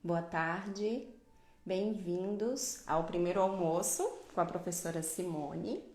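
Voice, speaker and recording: A woman's voice: fairly low pitch, steady tone, takes it slowly, a little mellow, echoey room. A middle-aged woman from Pernambuco, Brazil, giving a live online dentistry lecture in a didactic way, often adding tag questions. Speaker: dentistry professor.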